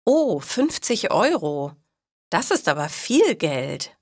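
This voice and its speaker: A woman's voice: on the low side, big pitch swings, takes it slowly, dry recording, studio quality, heavily acted. A woman voicing a cheerful, honest woman in a storybook, musing to herself with careful enunciation. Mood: surprised, caring.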